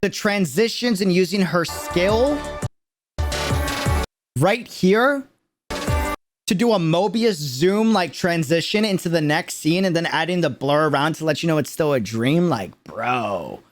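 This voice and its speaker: A man's voice: fairly high pitch, lively intonation, measured pace. A young man hosting a livestream reaction, geeking out in casual, slangy, rambling commentary with an enthusiastic manner. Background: music playing behind the voice.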